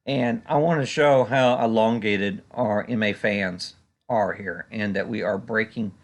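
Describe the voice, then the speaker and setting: Male analyst, low gravelly voice, conversational and halting, talking through charts off the cuff. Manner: conversational, hesitant, frequent "you know". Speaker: stock market analyst and video host. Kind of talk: unscripted market chart commentary.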